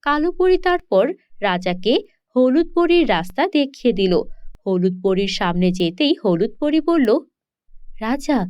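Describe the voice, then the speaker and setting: A woman tells a children's fairy tale as a scripted narration. Her voice is fairly high and very clear. The recording is dry, with a slightly muffled tone. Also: sing-song, a little slow, no echo.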